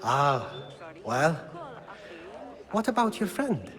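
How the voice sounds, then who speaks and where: A man's voice: a little high, big pitch swings, takes it slowly, fairly bright tone, heavily acted. An actor playing a polite, scholarly man with a devious, cowardly streak in a video game. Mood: courteous, probing.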